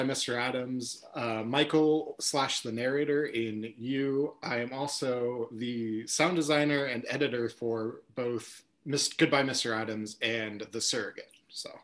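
A man's voice: mid-range voice, fairly even intonation, unhurried. A man in his twenties introduces himself casually on an online panel. His voice is mid-range, like a college student's. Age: 20s. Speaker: theater student, sound designer. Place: indoor room, video call.

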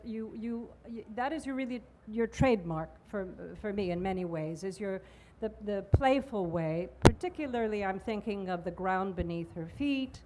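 A woman's voice: mid-range voice, a little mellow, a little hiss or hum. A middle-aged woman moderating an onstage interview, asking long enthusiastic questions and stumbling over her words with "uh" and restarts, into a handheld mic. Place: large outdoor festival stage pavilion.

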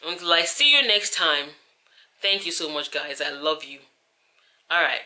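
A woman's voice: deep voice, moderate pace, bright, forceful tone. Tired young woman vlogging at night. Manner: chatty, rambling, lots of "like" and "you feel me". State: tired, voice deeper than usual. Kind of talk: casual late-night vlog wrap-up. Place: living room couch at night. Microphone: camera held at arm's length.

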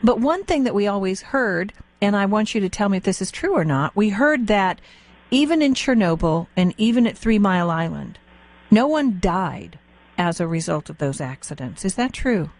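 A woman with a medium-pitched voice conducts a radio interview in a conversational way. She asks in everyday language and is openly reactive, given to exclamations. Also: very animated, moderate pace, a little mellow. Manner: reactive, exclamatory, plain-spoken questioning.

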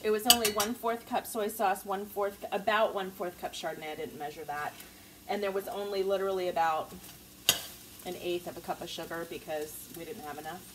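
A woman's voice: fairly low pitch, steady tone, fairly quick, background noise. Chatty mom cooking in her kitchen. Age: 40s. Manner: chatty, easygoing, laughs at herself. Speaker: stay-at-home mom vlogger. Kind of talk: casual cook-along chat. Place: home kitchen, indoors. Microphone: camera on the counter, low angle. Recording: distant mic with loud kitchen clatter. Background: pans sizzling and cutlery clinking.

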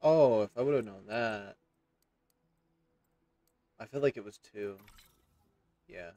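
A man's voice: lowish voice, slow pace, mellow, dry recording, highs cut off. A man in his thirties giving casual, rambling commentary as he plays a game, reacting and reading text aloud. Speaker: gameplay streamer. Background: game music.

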